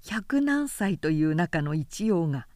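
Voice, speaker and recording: A woman narrating a literary audiobook as a professional, with measured, carefully articulated delivery. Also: fairly low pitch, big pitch swings, a little mellow, no room sound, studio quality.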